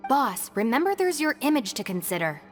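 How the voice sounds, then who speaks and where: A woman's voice: a little high, big pitch swings, a little fast, in a large hall, faint music behind. A woman playing a sensible young gang deputy in a video game, dry and matter-of-fact. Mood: practical, composed. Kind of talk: video game character acting.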